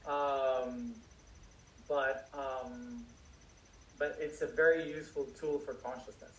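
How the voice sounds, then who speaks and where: A man answering audience questions as a spiritual teacher, speaking slowly with many restarts and fillers. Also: a little high, slight background noise. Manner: slow, searching speech with many restarts and fillers. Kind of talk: live Q&A, unscripted. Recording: echoey room, distant mic, faint crickets.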